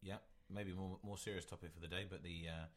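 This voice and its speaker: A man's voice: low-pitched, steady tone, fast talker. A man co-hosting a podcast, chatting casually and rambling with many restarts. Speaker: podcast co-host. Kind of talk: casual chat.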